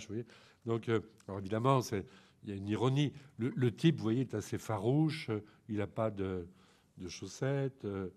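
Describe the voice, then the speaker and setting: Male lecturer, head cold, breathy voice, thinks aloud with frequent hesitations. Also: on the low side, darkish tone. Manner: hesitant, many ums and restarts. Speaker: lecturer on photography. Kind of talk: informal art lecture.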